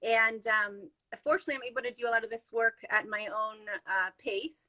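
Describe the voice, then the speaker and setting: Bright-voiced Chilean-born woman, chatty. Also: on the high side, a little fast, rough recording. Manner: chatty, upbeat, many fillers. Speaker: podcast guest. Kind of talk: casual conversation.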